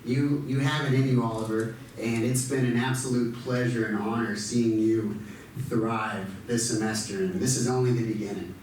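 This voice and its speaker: A man's voice: mid-range voice, steady tone, normal speed, a little mellow, a little hiss or hum. A man working as a speech pathologist, praising a student at an event, who stutters with repeated sounds. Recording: echoey hall, mic far off.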